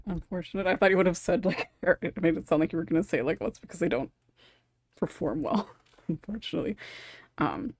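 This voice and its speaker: A woman's voice: lowish voice, fairly quick, a little mellow, slightly rough, dry recording. A woman hosting a casual panel chat, giggly and conversational, on a close microphone. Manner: giggly, laughs often while talking. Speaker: podcast host.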